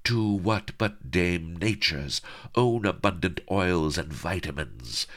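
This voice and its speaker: A man's voice: low voice, animated, slow, a bit bright, voice pushed up, exaggerated voice. A man voicing a swaggering, mocking pirate sea queen with a throaty, mock-archaic manner.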